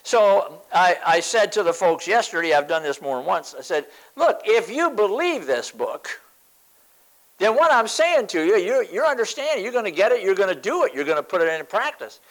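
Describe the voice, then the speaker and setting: A man's voice: sits high, big pitch swings, normal speed, rough recording. A man in his seventies preaching a sermon, emphatic, repeating phrases for stress. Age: 70s.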